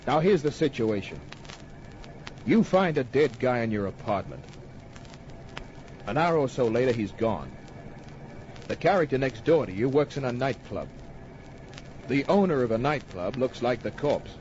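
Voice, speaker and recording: An actor playing a wry, tough private detective narrating his case in an old-time radio drama, deadpan and wisecracking. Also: mid-range voice, unhurried, long pauses, a little hiss or hum, close to an everyday voice. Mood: calm, in charge. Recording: muffled old radio recording with music.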